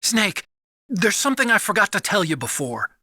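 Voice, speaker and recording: An actor playing a nervous, earnest, soft-hearted young male scientist in a video game, with a breathy high voice. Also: big pitch swings, moderate pace, no echo, clean studio sound, exaggerated voice. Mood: uneasy. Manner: anxious, pleading.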